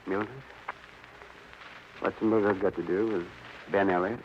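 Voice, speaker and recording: An actor playing a supercilious, fussy doctor, pompous and stammering, in an old radio crime drama. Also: male voice, sits low, fairly even intonation, slow. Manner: pompous, stammering when cornered. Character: evasive, fussy, supercilious male doctor hiding his nerves. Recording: muffled, hissy old radio broadcast.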